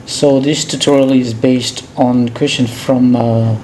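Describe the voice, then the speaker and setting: A man from Indonesia with an Indonesian accent narrates an informal software tutorial as host, in a hesitant, halting way, often repeating himself. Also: medium pitch, steady tone, a little hiss or hum, poor audio.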